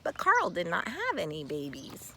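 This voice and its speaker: A woman reading a children's story aloud expressively, with theatrical, deliberate phrasing and dramatic pauses. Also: on the low side, huge pitch swings, very noisy. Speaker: storybook reader.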